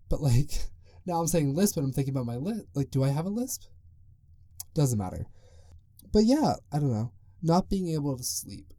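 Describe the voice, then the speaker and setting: A man's voice: on the high side, warm tone, no room sound. A young man hosting his own podcast, rambling loosely and chatting on his own. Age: young adult. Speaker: podcast host. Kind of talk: casual solo podcast monologue.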